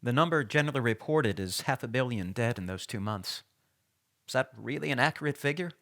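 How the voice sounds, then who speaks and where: A man playing a measured, matter-of-fact reporter narrating his interviews in an audio drama. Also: medium pitch, animated, fairly bright tone. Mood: measured, curious. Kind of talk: audio drama, first-person documentary narration.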